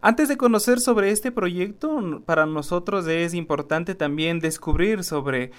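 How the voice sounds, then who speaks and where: A man hosting a radio interview, asking formal, measured questions. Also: high-pitched, clean tone. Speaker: radio host.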